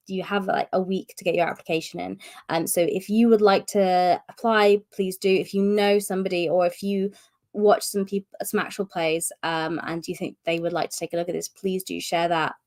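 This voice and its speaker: A woman's voice: medium pitch, creaky, a little mellow. A woman hosting a casual live-stream update, chatty and rambling with many fillers, her voice strained from a cough. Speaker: host of publisher's live stream.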